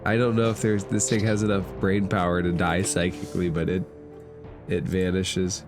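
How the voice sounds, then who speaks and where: A man acting as dungeon master, narrating a tabletop game casually with a deadpan manner. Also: sits low, moderate pace. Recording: game music playing behind.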